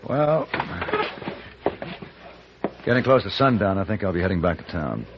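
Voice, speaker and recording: An actor playing a cool, shrewd western gunfighter in measured, terse old-time radio style. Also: male voice, low-pitched, big pitch swings, heavy background noise. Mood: dry, probing. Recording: muffled, worn old radio recording.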